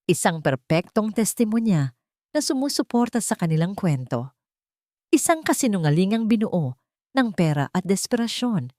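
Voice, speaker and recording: A woman's voice: mid-range voice, big pitch swings, moderate pace, dark tone, no echo, studio quality. A woman with a Filipino accent reading a scripted dramatic story as its narrator.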